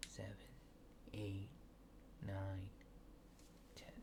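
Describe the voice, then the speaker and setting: A man doing a soft-spoken ASMR roleplay, hushed and often near a whisper, with hesitant, polite, clinical phrasing. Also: sits low, monotone, very slow, background noise. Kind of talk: soft-spoken ASMR roleplay as an alien abductor examining a subject.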